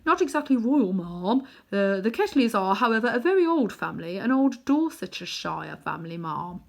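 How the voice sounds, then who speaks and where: A woman reading aloud, voicing a nervous, fawning old gentleman magician who stammers and gasps. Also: medium pitch, sing-song, normal speed, vocal fry. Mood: flustered fawning. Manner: stammering, gasping, obsequious.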